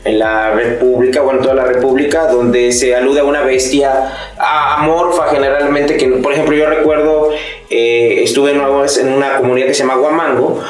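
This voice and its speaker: A man's voice: medium pitch, steady tone, normal speed, heavy reverb, far from the mic, music in the background, poor audio. A man with a Mexican accent co-hosting a casual chat, explaining things at length and hesitating as he goes. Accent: Mexican Spanish. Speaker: podcast co-host.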